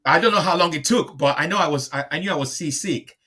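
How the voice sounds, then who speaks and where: A man's voice: on the high side, quick pace, sharp tone, slightly rough. A man speaking reflectively in a conversational interview as a guest, often repeating and rephrasing his phrases. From born in Liverpool, moved to Nigeria at five.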